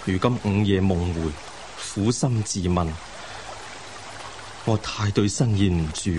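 A lovesick, guilt-laden scholar-official in a radio play. His voice is on the low side, formal and full of sighs. Running water noise is clearly heard behind him. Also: unhurried. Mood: mournful, yearning. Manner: sighing, earnest, formal. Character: remorseful lovesick scholar-official of old China. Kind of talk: Cantonese radio drama acting.

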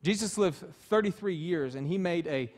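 A man's voice: high-pitched, normal speed, darkish tone. A man in his thirties preaching a sermon as pastor, emphatic and passionate, in a small church. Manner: passionate, emphatic, gesturing freely while preaching. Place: small church stage with wood-panel walls.

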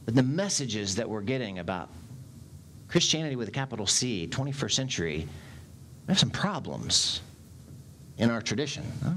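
A man in his forties, a pastor preaching a sermon in a church sanctuary on a headset mic, animated and gesturing as he speaks. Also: medium pitch, slight background noise.

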